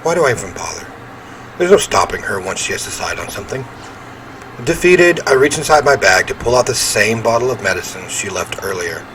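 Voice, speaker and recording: Male game streamer, lowish voice, lively intonation, narrating story text with wry asides, slight noise. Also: brisk, low-quality recording. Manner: throat-clearing, wry asides. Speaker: game streamer reading a visual novel aloud. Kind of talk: dramatic read-aloud with casual commentary.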